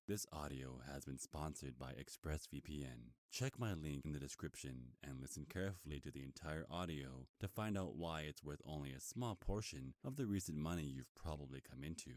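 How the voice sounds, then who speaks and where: A male ASMR host with an exceptionally low voice reads a scripted intro and sponsor spot. The sound is dry and close, with no room around it. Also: a little mellow, no echo.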